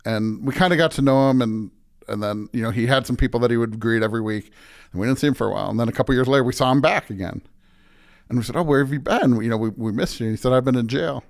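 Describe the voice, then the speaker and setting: An American man who is a pastor, talking informally and a bit haltingly as a guest in a casual interview. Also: medium pitch, gravelly.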